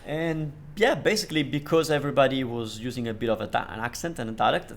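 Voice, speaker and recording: A man's voice: medium pitch, gravelly. A man from Italy teaching Italian, explaining phrases with restarts and fillers, switching between English and Italian. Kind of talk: language lesson commentary.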